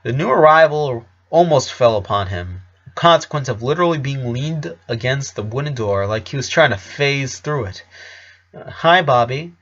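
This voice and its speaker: A man reading scripted fiction aloud as an expressive narrator. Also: medium pitch, normal speed, highs cut off.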